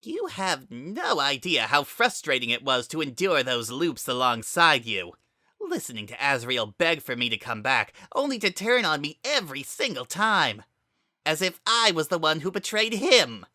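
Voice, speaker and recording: A man's voice: fairly high pitch, big pitch swings, normal speed, pushed and bright, no room sound, clean studio sound, pitched above the performer's own voice, heavily acted. A man voicing a menacing young demonic villain with a theatrical, sneering and coldly formal manner in a storybook reading. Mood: mocking, bitter.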